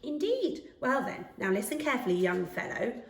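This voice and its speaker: A woman's voice: on the high side, big pitch swings, normal speed, creaky. Woman voicing a courteous grown male hedgehog, roomy sound. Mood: helpful, instructing. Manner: instructive, courteous. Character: helpful, unhurried grown male stranger hedgehog. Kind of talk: children's storybook character voice. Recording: roomy, distant microphone.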